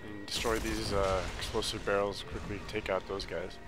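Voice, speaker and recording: A man with a low voice talks through a video game in a casual walkthrough style. His delivery is matter-of-fact and low-key, with clearly audible game music behind him. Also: level tone, normal speed.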